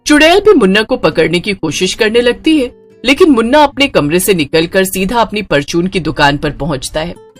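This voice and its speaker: A woman narrates a horror story with dramatic, scripted delivery. Her mid-pitched voice moves in a lively, animated way as she tells the tale.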